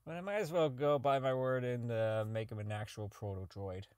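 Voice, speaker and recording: An actor playing a socially inept, bitter robotics engineer in an audio drama log, rambling, halting, swearing and putting himself down. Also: male voice, medium pitch, darkish tone, smooth, no room sound, clean studio sound, lightly acted. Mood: sardonic. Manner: rambling, halting, profane, self-deprecating. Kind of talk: audio drama monologue as a recorded personal log.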